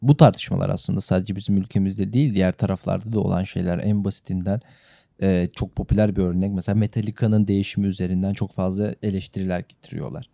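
A man's voice: low voice, steady tone, fast, vocal fry, dark tone, no echo, studio quality. A man co-hosting a podcast, discussing and arguing points in a casual, conversational way. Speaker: podcast co-host. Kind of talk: casual chat, music discussion.

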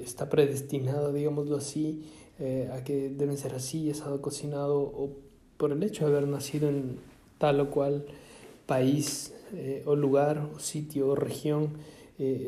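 A man from Ecuador musing aloud in a casual monologue, halting often with fillers and restarts. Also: medium pitch, warm tone. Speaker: vlogger. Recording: echoey room.

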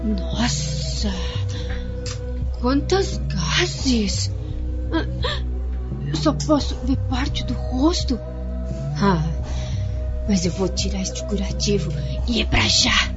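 A vain young patient in a radio melodrama. She is fretful and excitable, with a high voice and drawn-out delivery on muffled audio. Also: over music. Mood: impatient, secretive. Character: vain, impatient, hysterical young female patient. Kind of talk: melodramatic radio soap acting. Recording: dull lo-fi radio drama audio.

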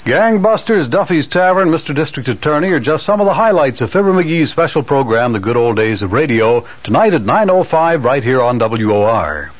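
A man's voice: medium pitch, very animated, a little fast, hardly any pauses, noisy background. A man reading scripted promotional announcements as a polished radio announcer. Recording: muffled 1970s radio broadcast. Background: music.

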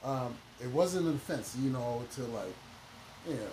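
A man's voice: medium pitch, expressive, normal speed, a little mellow. Man preaching in an echoey room. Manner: emphatic, preachy, full of "you know" fillers. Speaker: guest minister and educator. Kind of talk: conversational podcast interview. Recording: echoey room with background noise.

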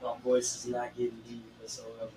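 A man's voice: mid-range voice, monotone, a little fast, crowd noise. A young man co-hosting a casual chat, speaking in an informal, slangy way. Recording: roomy, distant mic, faint noise.

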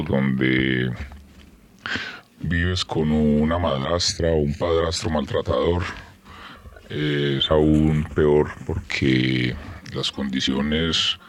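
A man with a Colombian Spanish accent and an extremely low, deep voice. He answers plainly and tersely, with little flourish. His tone stays flat and matter-of-fact. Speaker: interviewee. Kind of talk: interview answers.

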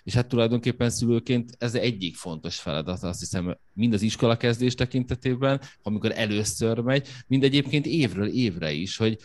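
A man's voice: mid-range voice, few pauses, a little mellow. A man hosting a podcast, asking questions and thinking aloud in a reflective, conversational way. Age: young adult. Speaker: podcast host. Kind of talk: conversational interview.

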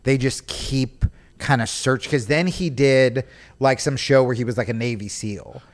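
A man's voice: medium pitch, measured pace. A young man hosting a podcast, chatting casually and joking, acting out quoted voices. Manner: animated, joking, acts out quotes. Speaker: podcast host. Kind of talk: casual comedic chat.

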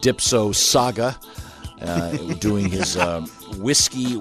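A man's voice: on the low side, expressive, slow pace, over music. A man hosting morning radio in casual banter, laid-back and hesitant with many fillers, on a studio mic. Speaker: radio show host. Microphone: studio microphone.

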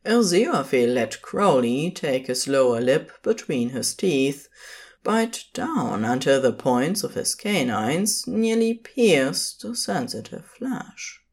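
A woman narrates fiction in a low voice. She takes her time over each phrase, and her intonation rises and falls widely in a dramatic storytelling style. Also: very animated, slow pace, darkish tone, slightly rough.

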